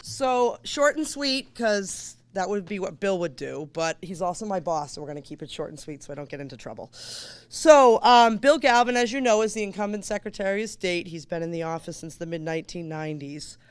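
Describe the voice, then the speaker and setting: Hoarse woman, chatty stump speech. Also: fairly low pitch, lively intonation, fairly quick. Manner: chatty, self-interrupting, informal asides. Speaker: campaign surrogate speaker. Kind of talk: stump speech at a candidates night.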